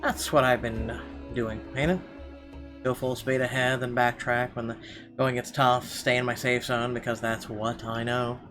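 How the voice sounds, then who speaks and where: A man with a mid-pitched voice reads story narration aloud in an expressive, dramatic way. He stumbles now and then, and guitar music plays clearly behind him. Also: moderate pace. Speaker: gamer reading a visual novel aloud.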